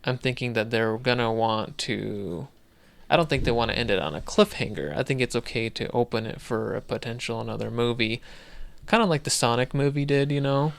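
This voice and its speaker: A man's voice: medium pitch, a little fast, gravelly, no echo. A man co-hosting a podcast in casual chat, thinking aloud in a loose, rambling way. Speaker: podcast co-host.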